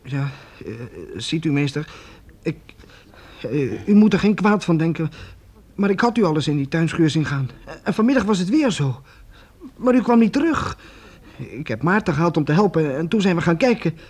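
An actor playing a respectful, anxious young apprentice in a Dutch radio play, hesitant and deferential. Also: male voice, on the high side, expressive, normal speed, darkish tone, slightly rough. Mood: apologetic, hesitant. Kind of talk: Dutch radio drama acting.

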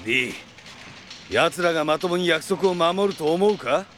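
An actor playing a stern, pragmatic middle-aged police section chief in a Japanese audio drama, gruff and authoritative. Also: male voice, a little high, animated, normal speed, a bit bright, a little hiss or hum.

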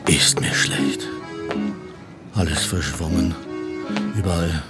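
An actor playing a gruff, cynical, hard-drinking Austrian police detective in a radio crime drama, sardonic and coarse, muttering asides. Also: male voice, rumbling low, slow pace, loud music behind the voice, exaggerated voice. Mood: weary, queasy. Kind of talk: German-language radio play dialogue and inner monologue.

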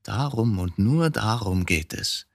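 A man's voice: fairly low pitch, lively intonation, slow pace, vocal fry, no room sound, clean studio sound, heavily acted. An actor playing an embittered, middle-aged male court reporter suspected of murder in a German radio drama, brooding and agitated, given to rhetorical questions. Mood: brooding, probing.

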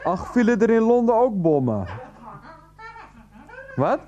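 A mellow-voiced, earnest man in a nineties radio drama. He is flustered and placating, hesitating and repeating himself as he tries to be understood. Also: high voice, very animated, slow pace, muffled recording, exaggerated voice. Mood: earnest, coaxing. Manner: hesitant, repetitive, placating, pleading. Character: earnest, flustered adult son and partner, placatingly arguing. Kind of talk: 1990s radio play acting.

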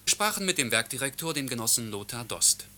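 A very sharp male voice over clear noise, playing a news reporter in a radio drama. He announces in an earnest, formal, official manner. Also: mid-range voice, very animated, fast talker.

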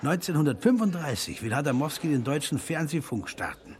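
An actor playing a worldly film producer who talks as a loyal, urging friend in a radio play. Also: male voice, on the high side, sing-song, fairly quick. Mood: urging, concerned.

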